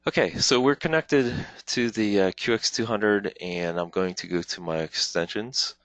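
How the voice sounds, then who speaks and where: A man presenting an informal software walkthrough as a webinar trainer, explaining step by step in a halting way with frequent pauses. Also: on the low side, no echo. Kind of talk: informal software tutorial, live demonstration walkthrough.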